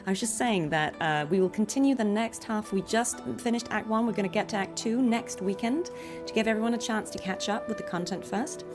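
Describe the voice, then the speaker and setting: A woman's voice: mid-range voice, a little fast, music in the background. A woman streamer chatting casually and appreciatively, rambling from thought to thought. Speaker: streamer and voice actress. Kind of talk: casual livestream chat.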